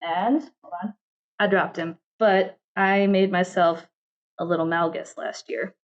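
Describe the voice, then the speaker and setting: A woman's voice: on the low side, steady tone, unhurried, muffled recording. A woman talking casually as an interview guest about her creative work, often slipping into quoted asides. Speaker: interview guest, game narrative director. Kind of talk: casual chat.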